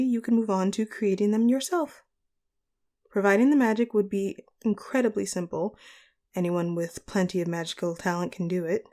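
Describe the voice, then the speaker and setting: Woman playing a mellow, courteous supervillain sorceress boss. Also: mid-range voice, close to an everyday voice. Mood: patient, explanatory. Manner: courteous, measured, formal managerial phrasing. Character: polite, charismatic female supervillain sorceress boss, caring and composed. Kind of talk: scripted audio roleplay, speaking to the listener.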